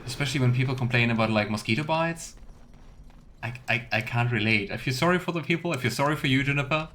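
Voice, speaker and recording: A man's voice: medium pitch, quick pace, a bit bright, a little hiss or hum. A man giving casual let's play commentary and reading game text aloud, musing and wryly sarcastic. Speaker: game streamer.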